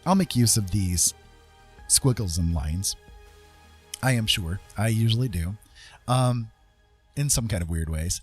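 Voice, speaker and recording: A man's voice: on the low side, animated, a little slow, long pauses, breathy edge, soft background music. A man hosting a casual drawing tutorial, thinking aloud in a rambling, informal way with frequent tag questions. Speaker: art tutorial host.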